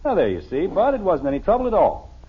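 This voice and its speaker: An actor playing a stubborn, defensive middle-aged American suburban father in a blustery, exasperated 1950s radio sitcom style, with a husky voice. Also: sits high, wildly swooping pitch, fast, full character voice. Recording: dull, lo-fi old radio recording.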